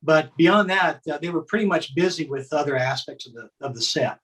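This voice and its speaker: A man's voice: on the high side, fairly quick, fairly bright tone. A man casually reminiscing as a guest about his time on a show. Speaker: guest, former participant on a children's TV show.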